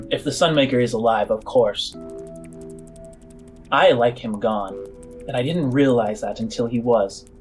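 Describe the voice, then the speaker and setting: A man playing a shrewd, measured female alien informant in a tabletop game, speaking formally and haltingly over music. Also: medium pitch, lightly acted. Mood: cool, bargaining.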